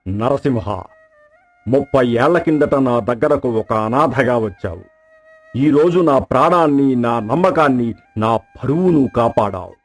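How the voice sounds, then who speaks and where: A man's voice: medium pitch, lively intonation, unhurried, soft background music. An actor playing a dying, wealthy old landlord in a folk tale, solemn, grateful and fatherly. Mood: grateful, moved. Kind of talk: dramatised folk tale storytelling.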